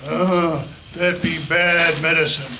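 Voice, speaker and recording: A man's voice: fairly high pitch, steady tone, slow. A man acting in a live radio play as an ominous backwoods guide who speaks broken pidgin English, with noise behind him. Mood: wary.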